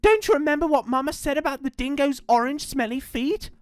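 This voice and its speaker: A woman voicing little kangaroo children in a storybook with a high childlike voice. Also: animated, brisk, no echo, studio quality. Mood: excited.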